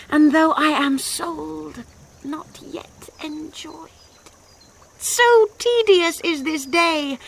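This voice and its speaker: An actress with an English accent playing a passionate, impatient young noblewoman in a classical radio drama, speaking breathy, intense verse. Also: sits high, exaggerated voice. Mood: impatient, excited. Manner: poetic, breathy, intense declamation. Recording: noisy 1990s radio drama recording.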